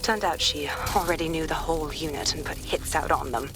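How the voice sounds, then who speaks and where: An actress playing a hardened, bitter British police detective in a video game, terse and determined. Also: female voice, deep voice, a little fast, over music. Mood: bitter resolve.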